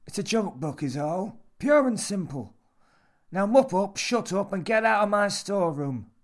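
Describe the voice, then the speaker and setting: Grumpy old bloke for an audiobook, high loud gruff bark, guards his stuff. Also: animated, moderate pace. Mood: gruff, guarded. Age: older. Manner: barking, gruff, possessive. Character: sour, threatening old male club storeroom keeper. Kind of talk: audiobook character voice.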